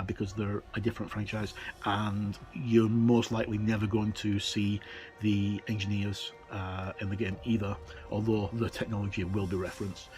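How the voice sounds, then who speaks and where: Middle-aged male, mid-pitched, casual explainer with rambling asides and emphatic key points, faint music underneath. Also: a little mellow. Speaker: tabletop gaming reviewer.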